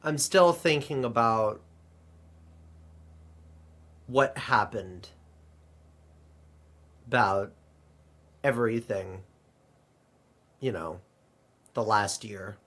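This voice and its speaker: A man playing an anxious, weary, homesick space adventurer in an amateur sci-fi audio drama, speaking hesitantly and earnestly, with pauses mid-sentence. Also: fairly low pitch, fairly bright tone, exaggerated voice. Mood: anxious, pensive.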